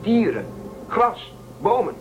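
A man's voice: sits high, sing-song, takes it slowly, dull audio, noisy background, full character voice. A man playing a defiant, suspicious spaceship crewman in a radio play, urging and persuading. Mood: insistent. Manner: urgent, persuasive, suspicious of authority.

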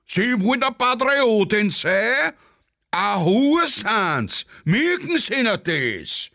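A man playing a crude, accusing street thug in a radio play, loud and goading with a Viennese accent. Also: sits high, very animated, slow, vocal fry, heavily acted. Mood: goading, abusive.

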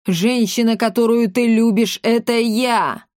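A woman's voice: medium pitch, expressive, moderate pace, no room sound, studio quality, natural voice. A woman voicing a tiny old mother in a storybook, domineering, scolding and theatrically outraged. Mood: shocked, possessive.

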